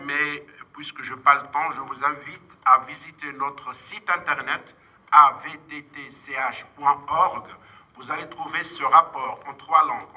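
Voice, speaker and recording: A man's voice: mid-range voice, bright, forceful tone, slightly rough. A man whose first language is Arabic gives a panel speech in a second language, hesitant, with many fillers and repeated words. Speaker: vice president of a victims' association.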